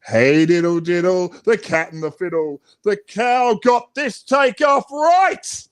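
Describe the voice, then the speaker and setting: High-pitched, theatrical Australian man. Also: slow pace, clean tone. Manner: theatrical, playful delivery. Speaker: children's author reading his own book. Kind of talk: animated picture-book read-aloud and chat.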